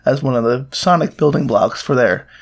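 Slightly hoarse, gravelly young man. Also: mid-range voice, moderate pace. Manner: playful, teasing, goofy. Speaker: podcast co-host. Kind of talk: joking casual banter.

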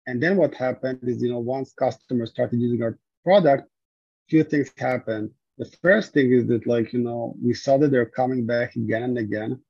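A man's voice: medium pitch, warm tone, breathy edge, no echo. A man from Israel being interviewed about his startup, answering at length with frequent fillers and restarts. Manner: hesitant, many fillers and restarts. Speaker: guest, startup founder. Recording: dull lo-fi sound.

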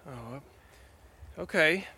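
Man acting a nervous, high-voiced folksy sheriff's officer. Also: animated, extremely slow, bright, forceful tone, slightly rough, heavily acted, low-quality recording. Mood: flustered. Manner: hesitant, stammering, polite. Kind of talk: audio drama acting.

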